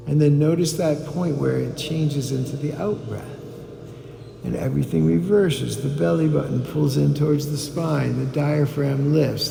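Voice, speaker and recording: A man leading a guided meditation as a teacher, giving calm, deliberate instructions with pauses. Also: medium pitch, creaky. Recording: echoey hall with background noise.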